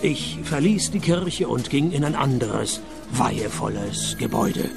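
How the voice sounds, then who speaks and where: An actor playing a sardonic private detective who narrates a radio play in a dry, deadpan, mocking tone. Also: male voice, medium pitch, a little slow, vocal fry, over music. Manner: deadpan, sarcastic.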